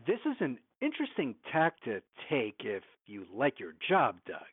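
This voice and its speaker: An actor playing a domineering, evasive film studio executive in an audio drama, condescending and clipped, heard over a thin phone line. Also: male voice, mid-range voice, wildly swooping pitch, normal speed, slightly rough, no room sound. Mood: guarded, cutting. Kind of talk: modern audio drama acting.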